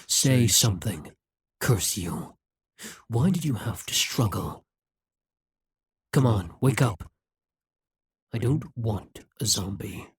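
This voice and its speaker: A scheming demon king, speaking in a breathy, husky hush. He takes his time, slow and deliberate, each word pressed close in a dry, dead room. Possessive and intense, he leans right into the listener's ear. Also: on the low side, no echo, exaggerated voice. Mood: panicked. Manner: slow, measured, intimate delivery. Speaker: voice actor. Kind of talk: audio roleplay acting.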